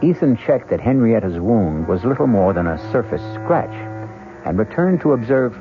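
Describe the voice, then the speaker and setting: A man hosting a radio mystery, narrating from a script in a measured storytelling manner. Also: lowish voice, sing-song. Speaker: host and narrator. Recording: tinny vintage radio broadcast with hum.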